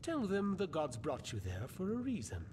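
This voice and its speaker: A man's voice: huge pitch swings, normal speed. A man voicing an eerie, mock-courteous prophet in a video game, speaking in portentous, theatrical riddles. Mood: cryptic, solemn. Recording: rumbling background noise.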